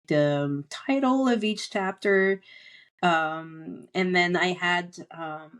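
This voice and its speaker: A middle-aged woman who grew up speaking French, with a somewhat low voice. She talks in a relaxed interview manner. Her speech is full of small pauses and fillers. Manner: hesitant, many fillers. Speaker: podcast guest, author. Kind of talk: casual interview talk.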